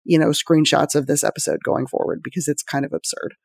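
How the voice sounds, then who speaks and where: A woman's voice: low-pitched, sing-song, fast, vocal fry, a little mellow, no echo, clean studio sound. A woman co-hosting a podcast, chatting casually in an emphatic, gushing, slangy way. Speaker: podcast co-host. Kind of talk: casual panel chat about a TV show.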